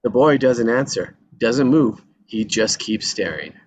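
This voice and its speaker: A man's voice: medium pitch, animated, unhurried, breathy edge, dry recording. A man narrating a screenplay's action lines at a table read, sometimes tripping over and repeating words. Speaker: reader of stage directions. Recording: dull lo-fi sound with background noise.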